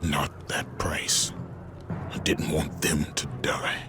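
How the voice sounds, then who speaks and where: An actor playing a grim, tormented Greek warrior, grave and deliberate, in a video game cutscene. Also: male voice, very deep voice, completely flat delivery. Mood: anguished. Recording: loud music behind the voice.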